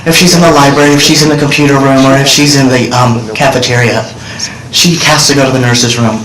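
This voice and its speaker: A man's voice: mid-range voice, fairly even intonation, fast talker, a crowd in the background. A middle-aged man giving earnest, direct testimony to senators from a desk microphone in a large hearing room. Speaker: witness testifying. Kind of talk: public testimony. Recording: roomy hearing room audio.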